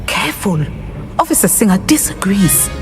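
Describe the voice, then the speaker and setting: A woman voicing a stern, protective middle-aged mother in a video game, measured and formal, with a Southern African accent. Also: mid-range voice, very animated, a little mellow, natural voice. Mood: stern, worried. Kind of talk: video game cutscene acting. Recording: music under the voice.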